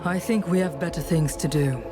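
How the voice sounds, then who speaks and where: A woman plays a determined hero in a fantasy game. She is matter-of-fact, with a dry, defiant edge. Music plays clearly behind her. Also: sits low, normal speed, lightly acted. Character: resolute, dry-witted hero, outcast relic bearer. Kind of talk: video game dialogue acting.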